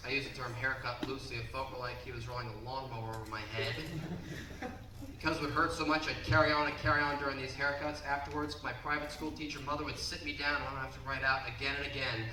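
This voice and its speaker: A man's voice: medium pitch, flat delivery, fast. Brash young stand-up man in a roomy club. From Baltimore area, Maryland. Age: 20s. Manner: punchy, brash club delivery, sometimes shouting. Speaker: stand-up comedian. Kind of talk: stand-up set. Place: comedy club stage with a live audience. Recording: roomy, distant, noisy club recording.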